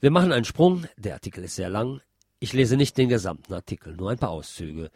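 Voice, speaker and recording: A man's voice: lowish voice, animated, brisk, darkish tone, husky, dry recording, studio quality. A man presenting a programme, reading written articles and reviews aloud. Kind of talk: read-aloud newspaper article.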